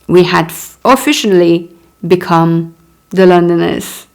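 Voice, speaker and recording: A woman's voice: lowish voice, vocal fry. A woman in her thirties whose first language is French, reading her own memoir aloud and stopping for chatty asides. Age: 30s. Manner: unhurried reading broken by chatty asides and little laughs. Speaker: author.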